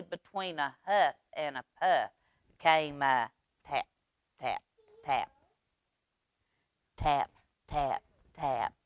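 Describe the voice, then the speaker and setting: A woman reading aloud for children's storytime in an expressive, drawn-out manner. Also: lowish voice, no echo.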